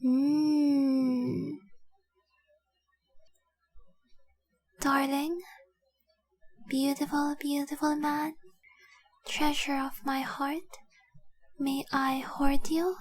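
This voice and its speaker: A woman voicing a tender, possessive young dragon girl in love, speaking softly and breathily in an intimate roleplay audio drama. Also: sits high, dry recording. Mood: adoring, coaxing. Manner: soft, breathy, lingering, adoring delivery.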